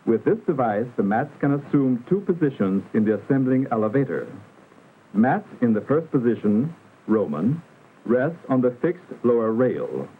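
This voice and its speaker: A man narrating a 1960s educational film in a formal, instructional manner with careful, measured enunciation. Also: medium pitch, sing-song, breathy edge. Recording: muffled vintage film soundtrack, narrow band, hiss.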